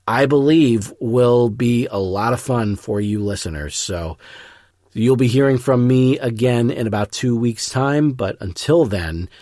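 A man introducing an audio drama as podcast host, speaking in an earnest, effusive and somewhat halting way. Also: on the low side, a little mellow, no room sound, studio quality. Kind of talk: scripted-loose podcast introduction.

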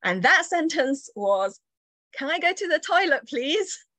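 A woman's voice: high-pitched, very animated, unhurried, dry recording. Female guest from China, reflective storyteller, dry close mic. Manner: warm reflective storytelling, light laughs. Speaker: entrepreneur guest. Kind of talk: interview storytelling.

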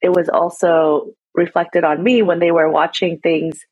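Low husky-voiced woman, dull audio. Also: flat delivery, unhurried, darkish tone. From United States. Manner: earnest, storytelling, with fillers. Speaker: guest, nonprofit founder. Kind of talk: interview conversation.

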